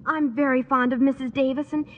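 A woman's voice: high-pitched, fairly even intonation, brisk. An actress playing a sweet, earnest teenage schoolgirl in an old-time radio comedy, girlish and polite. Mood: sentimental. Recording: echoey, muffled, noisy old radio recording.

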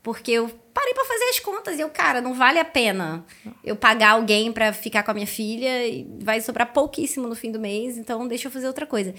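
Higher-pitched chatty Carioca woman. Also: expressive, fast, bright, forceful tone. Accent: Carioca Brazilian Portuguese. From Rio de Janeiro, Brazil. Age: 30s. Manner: chatty, colloquial, laughs easily. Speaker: podcast guest, educator and speaker. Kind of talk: casual interview storytelling.

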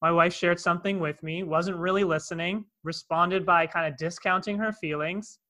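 A man's voice: sits high, steady tone, normal speed, sharp tone. A man with a Canadian accent, a coach telling a personal story in a podcast conversation, earnest, with many asides. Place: in Cape Town, South Africa.

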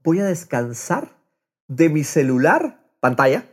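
Animated higher-pitched man, slightly husky. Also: measured pace. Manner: drops English phrases into Spanish. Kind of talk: casual conversational podcast talk.

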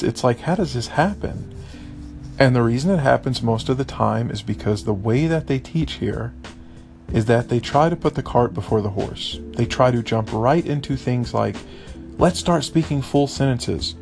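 A man with a slightly raspy voice, talking casually on his daily podcast and animatedly imitating stilted textbook phrases. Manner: animated, mimics voices with high pitch jumps. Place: lives abroad in Vietnam.

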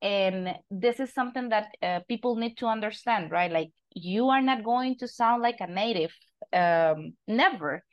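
A woman's voice: medium pitch, moderate pace, lo-fi sound. Spanish-accented female host, encouraging, interview tone. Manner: encouraging, asks questions. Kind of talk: casual interview.

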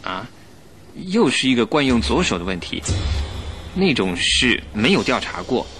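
An actor playing an earnest police inspector in a radio drama, opinionated yet easily flustered, explaining himself defensively. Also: male voice, mid-range voice, very animated, a little slow, a bit bright, faint music behind.

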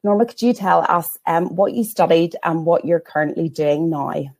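A woman hosting a careers webinar panel, prompting each panelist politely and briskly. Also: lowish voice, a little mellow. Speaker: host, careers adviser.

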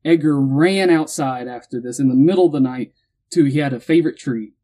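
A young man hosting a casual podcast chat, retelling a story in an animated way and acting out quotes. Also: mid-range voice, fairly quick. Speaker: podcast host telling the story.

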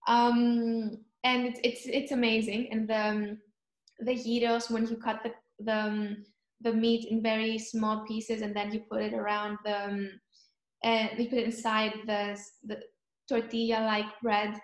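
A young woman from Cyprus, chatting in an interview and often pausing to search for words. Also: a little high, monotone, echoey room. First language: Greek. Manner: thoughtful, searching for words, frequent ums. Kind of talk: casual chat.

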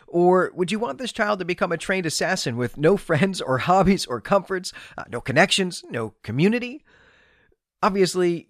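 A man's voice: fairly high pitch, no room sound, studio quality. A man hosting a podcast, giving literary commentary in an emphatic, enthusiastic way with conversational asides. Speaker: podcast host. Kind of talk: literary review commentary, semi-scripted.

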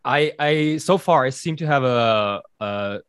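A male podcast host asking casual interview questions, often pausing and hunting for the right word. His pitch is middling and the sound is close and dry. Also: lively intonation, fairly bright tone, clear, resonant tone, no echo. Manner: hesitant, searching for words.

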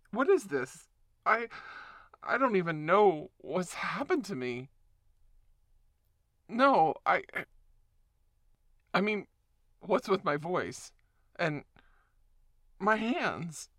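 Voice actor doing a freaked-out fresh werewolf, slow stammery talk, bright dry voice, swears a lot. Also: mid-range voice, no echo. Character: confused person waking up as a werewolf. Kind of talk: audio roleplay monologue.

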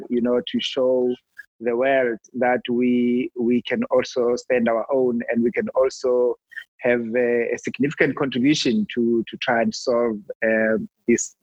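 A man from South Africa introduces himself haltingly, with frequent fillers. His audio is dull and dry, like a remote call. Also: medium pitch, level tone, breathy edge, no echo. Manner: hesitant, many fillers. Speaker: accountant guest.

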